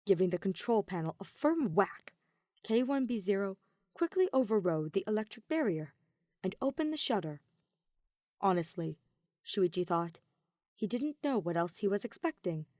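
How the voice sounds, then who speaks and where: Female audiobook narrator for dramatic fan fiction; medium pitch, dry studio-like sound. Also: expressive, normal speed, darkish tone, no room sound.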